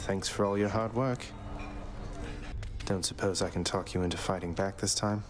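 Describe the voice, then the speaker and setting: An actor playing a wry, cocky young prince in a fantasy video game, dry, casual and polite. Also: male voice, deep voice, steady tone, moderate pace, close to an everyday voice.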